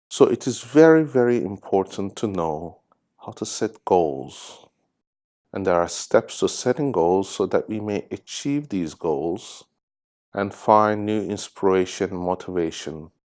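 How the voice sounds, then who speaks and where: A man narrating a guided meditation in measured, deliberate phrases with pauses. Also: low-pitched, a little mellow. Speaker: guided meditation host, hypnosis therapist.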